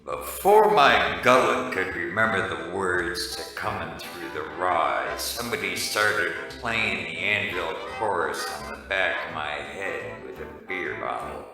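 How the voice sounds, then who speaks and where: Man acting a deep, echoey noir private eye. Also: measured pace, hardly any pauses. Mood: deadpan, world-weary. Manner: deadpan, world-weary. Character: hard-drinking, wisecracking male noir private eye, irritable. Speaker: lead actor and narrator of a radio play. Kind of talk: noir detective radio drama narration. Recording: very echoey, distant, music behind.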